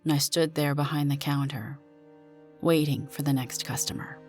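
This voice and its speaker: Low-voiced woman reading suspense fiction. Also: fairly even intonation, normal speed, darkish tone, soft background music. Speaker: narrator and performer of a story. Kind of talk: dramatic first-person suspense story reading.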